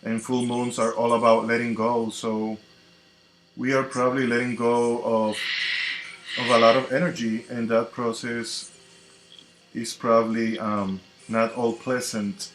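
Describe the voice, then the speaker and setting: A man from the Dominican Republic with a Dominican Spanish accent, a native Spanish speaker, hosting a live tarot reading in a slow, reflective, casual way. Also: medium pitch, level tone. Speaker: tarot reader. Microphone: computer mic on a live stream. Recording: echoey room with faint noise.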